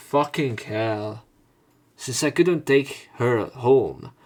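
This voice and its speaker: A man playing through a visual novel, reading its narration aloud and adding casual, informal commentary of his own. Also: fairly low pitch. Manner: informal, swearing in reactions. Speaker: let's player.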